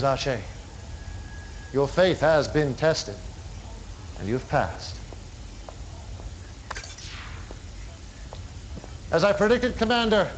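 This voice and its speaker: An actor playing a charismatic, menacing male cult leader in a game cutscene, speaking in a measured, commanding way. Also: mid-range voice, a little hiss or hum, lightly acted, low-quality recording. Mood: smug. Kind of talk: theatrical game cutscene acting.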